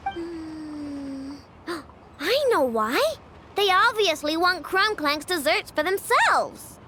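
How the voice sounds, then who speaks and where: Very high, feisty little girl inventor. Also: slow pace, very noisy, full character voice. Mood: indignant, proud. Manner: childlike, bluntly expressive. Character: feisty young girl inventor, sweet-toothed, indignant and blunt. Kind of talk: video game voice acting.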